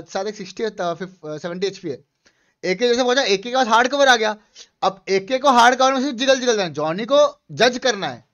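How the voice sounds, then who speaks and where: A man's voice: high voice, fairly bright tone. A man, chatting casually as a gaming streamer and explaining things with repetition, on a headset mic. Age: 20s. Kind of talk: casual live-stream chat and game commentary. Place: small room indoors. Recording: slightly dull, lo-fi stream audio.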